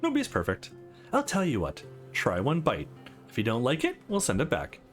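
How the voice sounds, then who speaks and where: A man's voice: medium pitch, wildly swooping pitch, moderate pace, soft background music. A man voicing a cocky, composed male employee with a dry, bantering manner in a visual novel.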